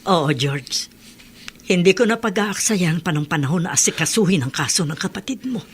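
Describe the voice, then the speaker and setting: An actress with a Filipino accent playing a stern, unyielding middle-aged mother in a radio drama, firm and reproachful, with a husky voice. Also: on the low side, animated, moderate pace, faint music behind, lightly acted. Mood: cold, resolute. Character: stern, unyielding mother of two grown sons.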